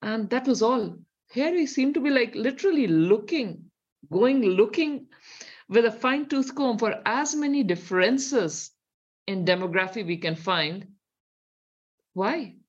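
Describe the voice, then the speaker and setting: A woman's voice: a little high, expressive, measured pace, slightly rough, lo-fi sound. A middle-aged woman with an Indian accent, co-hosting a talk show with sardonic, questioning commentary. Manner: sardonic, questioning, reads from reports. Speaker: co-host.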